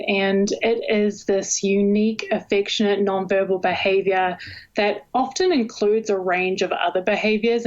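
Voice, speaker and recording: A woman's voice: medium pitch, muffled recording. A woman in her thirties from New Zealand, with a New Zealand accent, explaining her research as a guest in a podcast interview. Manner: explanatory, measured, with occasional laughs. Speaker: guest researcher, assistant professor.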